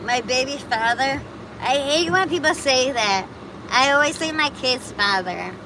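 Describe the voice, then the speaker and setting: A woman's voice: high-pitched, lively intonation, a little slow. Chatty woman streaming amid traffic. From Buffalo, New York. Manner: chatty, rambling, reacts to chat comments. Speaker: livestream host. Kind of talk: casual live chat answering viewers.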